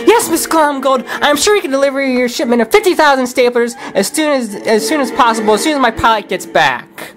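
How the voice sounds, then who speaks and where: A man's voice: very high voice, animated, fairly quick, few pauses, pitched above the performer's own voice. A man voicing a busy, overworked female bear who runs a business and reassures a customer in a hurry. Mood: hurried. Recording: music playing behind the voice.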